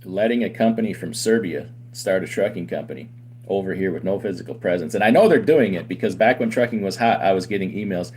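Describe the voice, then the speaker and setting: A man's voice: low-pitched, fast talker, a little mellow, slight background noise. A man who runs a trucking company gives an argumentative, opinionated talk to his audience, with many rhetorical questions. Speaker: owner of a trucking company and channel host. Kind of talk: opinionated commentary to camera.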